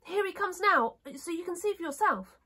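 Storybook china princess: young, delicate, timid and proper, pitched way up high. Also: female voice, expressive, moderate pace, pushed and bright, exaggerated voice. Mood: confiding, prim. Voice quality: tiny, frightened little voice. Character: frightened, dainty little china princess, prim and young. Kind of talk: storybook character voice.

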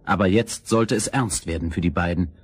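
A man narrating a scripted children's fairy tale as storyteller, in a measured, expressive way. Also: sits low, breathy edge.

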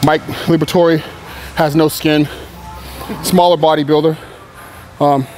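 A man's voice: on the high side, level tone, unhurried, darkish tone, a little hiss or hum. A man in his thirties, a pro bodybuilder, talking casually and candidly to the camera between sets in a large indoor gym, with loose, rambling phrasing. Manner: laid-back, candid, rambling with fillers. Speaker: professional bodybuilder. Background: music.

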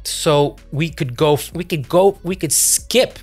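A middle-aged man from France, native in French, talks bluntly and swears freely in a relaxed podcast chat. He sits at a desk mic in a small home studio, with soft music faintly under the talk. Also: fairly high pitch, slow pace, slightly rough. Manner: blunt, swears casually, laughs easily. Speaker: podcast co-host. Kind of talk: casual chat.

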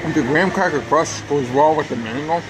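A man's voice: on the high side, fairly quick. A man in his thirties giving an enthusiastic, casual food review to camera, talking between bites. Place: outdoors at a theme park table. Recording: noisy outdoor recording with background hum.

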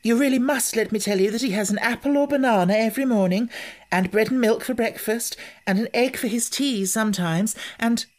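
A reader doing a prim, high-voiced young girl. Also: brisk, close to an everyday voice. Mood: earnest. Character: polite, earnest young English girl.

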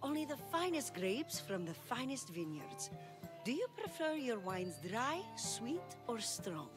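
A woman's voice: on the high side, very animated, a little slow. A woman playing a courteous, obliging wine merchant in a video game cutscene. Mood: courteous, inviting. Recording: music bed under the dialogue.

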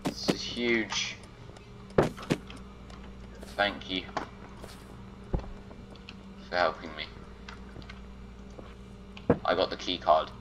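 Low-voiced man muttering through a game. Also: extremely slow, pauses often, very noisy. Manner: exasperated muttering to himself, talks to objects. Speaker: gameplay commentator. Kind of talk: casual gameplay commentary.

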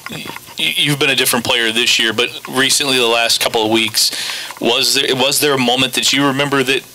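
Flat-toned, halting male reporter. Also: mid-range voice, a little hiss or hum, poor audio. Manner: halting, restarts mid-question. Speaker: sports reporter. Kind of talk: press conference question.

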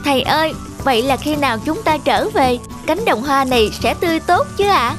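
High-pitched little monkey boy. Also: expressive, fairly quick. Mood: curious, delighted. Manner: childlike, earnest, polite. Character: eager naive little monkey boy apprentice. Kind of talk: dubbed children's moral cartoon. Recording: music behind the dialogue.

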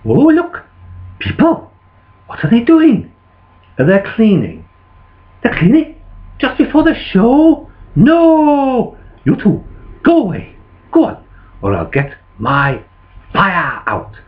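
A man voicing an excitable, indignant dragon puppet-show character in a children's story. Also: medium pitch, measured pace, pauses often, narrow, tinny audio.

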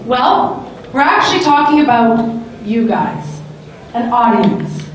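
A woman's voice: fairly high pitch, very animated, slow, a little hiss or hum. A woman in her thirties hosting a live panel discussion on a handheld mic, upbeat and talkative. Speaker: panel moderator. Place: large convention hall. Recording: echoey hall, camera from far off.

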